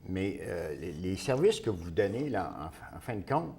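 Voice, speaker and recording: Interviewer: man, Québécois accent, fairly low voice, lively intonation, halting conversational delivery. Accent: Québécois French.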